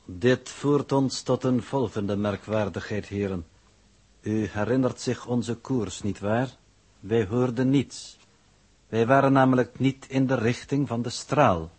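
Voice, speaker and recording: An actor playing a coolly superior, secretive scientist in a 1960s radio play, formal, precise and pedantic. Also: male voice, medium pitch, fairly even intonation, unhurried, a little mellow, breathy edge. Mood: measured, knowing.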